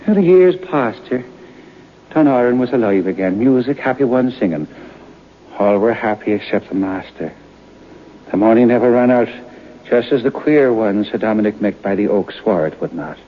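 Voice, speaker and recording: An actor playing a knowing folk-tale storyteller, narrating an old-time radio drama in an unhurried way. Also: male voice, on the low side, lively intonation, lightly acted. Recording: muffled, hissy old radio broadcast.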